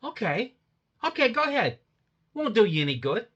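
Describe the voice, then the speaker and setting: A man's voice: extremely high pitch, very animated, moderate pace, a bit bright, slightly rough, muffled recording, full character voice. An actor in his forties playing a cagey, evasive male crook in a radio drama. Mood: defiant. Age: 40s. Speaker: suspect.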